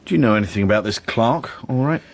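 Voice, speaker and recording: A man playing a gruff, probing police detective with a husky voice in a naturalistic radio drama. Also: lowish voice, lively intonation, fast talker.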